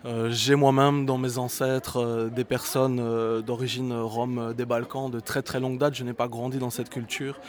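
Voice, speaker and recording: Man, mid pitch, reflective, hesitant, musician guest. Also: flat delivery, moderate pace, hardly any pauses. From Brussels, Belgium. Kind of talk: interview answer.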